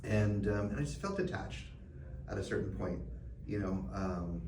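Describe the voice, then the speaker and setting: Reflective middle-aged man, lowish voice. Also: lively intonation, normal speed. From Canada. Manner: reflective, many fillers and restarts. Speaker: guest. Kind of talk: conversational interview answers. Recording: roomy, distant mic, background noise.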